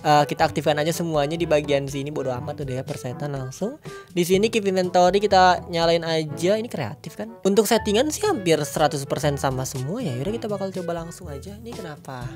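A man's voice: on the high side, animated, quick pace. Stuffy-nosed young man chatting over music. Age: young adult. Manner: chatty and upbeat, lots of fillers. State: stuffy nose from a cold. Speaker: gaming YouTuber. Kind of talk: casual game review vlog. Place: home room with gaming chair.

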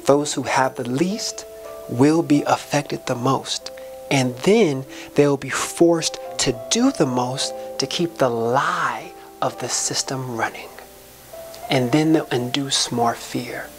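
A woman's voice: rumbling low, slow pace, music in the background. A woman delivering an inspirational spoken-word soliloquy in an impassioned, preacher-like way, with folksy, colloquial phrasing.

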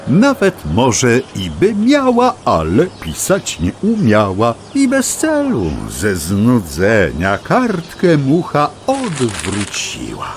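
A man playing a whimsical storyteller, narrating a children's tale theatrically with rhymes and dramatic pauses. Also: medium pitch, a little hiss or hum, full character voice. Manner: expressive, rhyming, dramatic pauses.

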